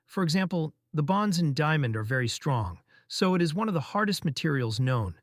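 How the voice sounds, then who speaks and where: High-pitched man reading formally, dry studio sound. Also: sing-song, a little fast, dry recording. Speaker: narrator reading practice passages. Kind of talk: scripted read-aloud narration.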